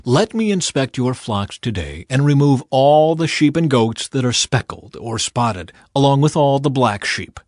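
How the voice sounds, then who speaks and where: A man's voice: medium pitch, animated, measured pace, hardly any pauses, darkish tone, no room sound, clean studio sound, natural voice. Man reading as earnest, insistent ancient herdsman. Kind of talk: Bible audiobook character reading.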